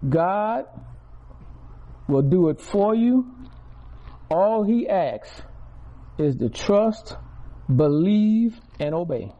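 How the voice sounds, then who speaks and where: A man's voice: high-pitched, sing-song, extremely slow, pauses often, warm tone, dull audio. A man, giving a preaching testimony to a congregation, repeating key words and building volume on stressed phrases. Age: 60s.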